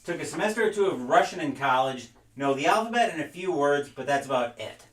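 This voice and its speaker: A man's voice: a little high, expressive, a little fast, a bit bright, recorded from a distance. A young adult man chatting casually while unboxing and sorting wrestling game cards at home, rambling and reading names aloud as he goes. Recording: roomy, off-mic sound.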